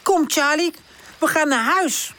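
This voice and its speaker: A woman's voice: sits high, slow pace, sharp tone, pitched above the performer's own voice, full character voice. A woman voicing a protective, brave but nervous older boy puppy in a children's storybook, sometimes hesitant. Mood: reassuring. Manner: stammers and hesitates when worried.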